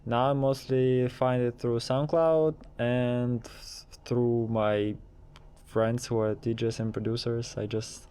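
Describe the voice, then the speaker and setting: Man, very crisp resonant voice, halting phrasing, casual interview answers. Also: mid-range voice, level tone, mellow. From Kazakhstan. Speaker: interviewed DJ and techno producer.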